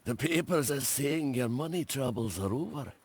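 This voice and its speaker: An actor playing a knowing man in a video game cutscene, with a drawn-out conversational delivery. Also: medium pitch, expressive, slightly rough, dry recording. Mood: knowing, dry.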